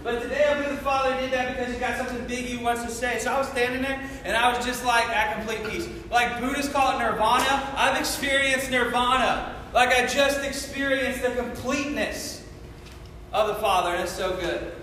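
A high, bright-voiced male pastor preaches in a loud, excitable, casual way and prays with a fervent, repeating cadence. His voice rings through a big echoey church hall, with a long reverb tail and faint room noise behind it. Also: fairly quick. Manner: fervent, repetitive "Father God" prayer cadence. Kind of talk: sermon and prayer.

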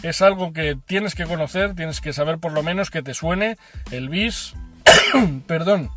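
A man's voice: on the high side, measured pace, loud music behind the voice. A man hosts a finance channel, explaining a term informally to the viewer with many fillers, self-corrections and ironic asides.

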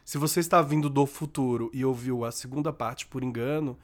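A man's voice: mid-range voice, steady tone, fairly quick. A Brazilian man co-hosting a podcast, chatting in a playful, camp way and laughing often. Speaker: podcast co-host. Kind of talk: campy casual chat.

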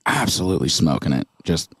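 A man co-hosting a podcast, bantering in quick, slangy casual chat. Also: a bass voice, very animated, breathy edge, dry recording. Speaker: podcast co-host.

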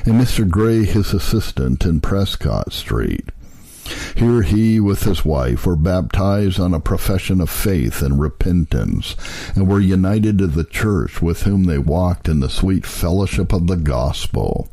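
A man reading old historical texts aloud as a narrator, in a solemn, measured, formal way. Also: sits low, big pitch swings, warm tone, dry recording.

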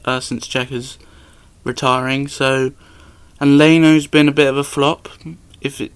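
A man's voice: medium pitch, level tone, unhurried, clean tone. A young man co-hosting a casual football podcast, giving matter-of-fact opinions. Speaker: podcast co-host.

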